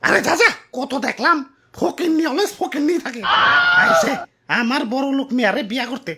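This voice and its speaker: A man's voice: very high voice, big pitch swings, normal speed, heavy background noise, heavily acted. A man playing a haughty, scornful rich orange fruit father in a comic cartoon, with a Bangladeshi accent and a pompous manner. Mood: angry contempt. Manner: pompous, scolding, sneering. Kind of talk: exaggerated comic cartoon acting.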